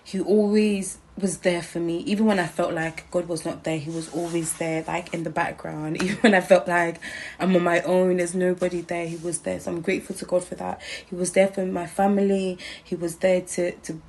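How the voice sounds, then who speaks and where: A woman's voice: fairly low pitch, level tone, normal speed, darkish tone, a little hiss or hum. A woman reading out an earnest recorded message of thanks, repeating her gratitude. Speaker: guest sending a message.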